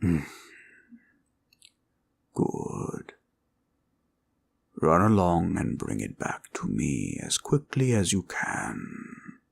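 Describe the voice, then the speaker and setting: A man voicing a gentle, protective dragon in an intimate roleplay, speaking formally and solemnly, with a gravelly, sometimes breathy voice. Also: deep voice, wildly swooping pitch, heavily acted. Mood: calm, measured. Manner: slow, solemn, archaic formal phrasing. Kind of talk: intimate comfort roleplay audio.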